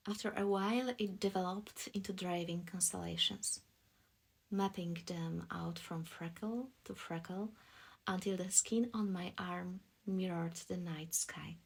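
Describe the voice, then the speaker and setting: Slow, breathy woman storyteller. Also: on the low side. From Poland. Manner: deliberate, soft storytelling. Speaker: voice actress, narrator. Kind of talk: scripted audiobook narration.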